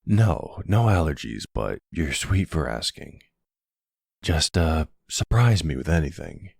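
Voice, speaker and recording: A man playing a smooth, flirtatious, middle-aged mafia boss in an ASMR roleplay, courteous and teasing, with a husky, gravelly voice. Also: extremely low pitch, very animated, darkish tone, no room sound, studio quality, full character voice. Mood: warm, flirtatious.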